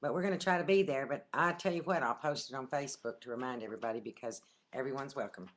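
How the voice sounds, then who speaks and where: A woman chatting at home into a webcam, brisk and talkative, cutting in often. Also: very deep voice. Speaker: vlogger, half of a couple. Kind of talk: casual webcam chat.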